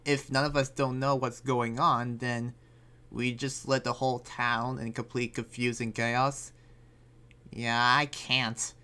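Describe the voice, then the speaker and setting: A man playing an earnest, troubled demon slayer and researcher in an improvised anime game roleplay, speaking haltingly and often trailing off. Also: medium pitch, flat delivery, pushed and bright. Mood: troubled, resolute.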